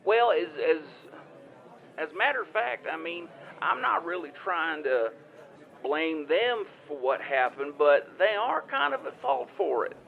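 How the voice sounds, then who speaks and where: A man's voice: a little high, very animated, unhurried, creaky, phone-quality sound, full character voice. A man playing a folksy, rambling small-town fellow. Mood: rambling, pleading. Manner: rambling, stammering, repetitive. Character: folksy rambling small-town man, older ex-football player, injured rider. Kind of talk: improvised audio comedy phone call.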